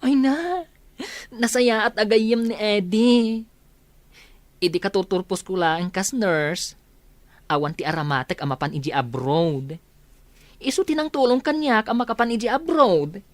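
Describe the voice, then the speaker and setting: An actress playing an earnest, caring friend in a radio drama, expressive and pleading. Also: female voice, mid-range voice, a little slow, pauses often, dry recording, lightly acted.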